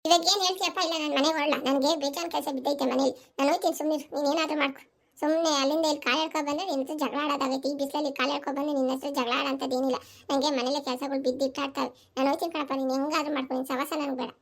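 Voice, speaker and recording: A woman voicing an overworked, sharp-tongued village housewife and mother in a family cartoon, scolding and complaining with a rural southern Karnataka accent. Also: high-pitched, fairly even intonation, very fast. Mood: sulky, impatient. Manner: scolding, complaining, rhetorical questions.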